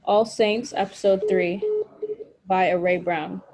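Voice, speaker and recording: A woman's voice: medium pitch, fairly even intonation, takes it slowly, crowd noise. A woman reading stage directions and scripted narration for a table read held on a video call. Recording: noisy online call audio.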